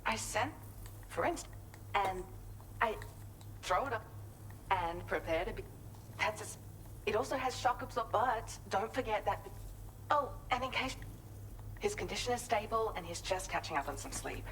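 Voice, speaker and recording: A woman's voice: medium pitch, lively intonation, moderate pace, long pauses, fairly bright tone, slight background noise, poor audio. A woman playing a courteous, earnest female seeker with a special gift in a video game, explaining things politely and conversationally. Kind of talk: video game cutscene acting.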